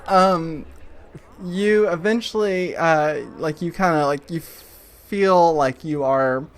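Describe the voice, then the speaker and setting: A man narrating a tabletop game as game master, in a halting, informal way, piecing the scene together as he talks. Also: a little high.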